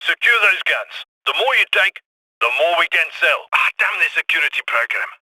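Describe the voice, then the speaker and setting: A man voicing a heist coordinator who gives brisk, commanding instructions over the radio for a video game. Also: fairly high pitch, big pitch swings, pushed and bright, breathy edge, no room sound, full character voice, rough recording. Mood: focused. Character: heist planner man giving radio orders.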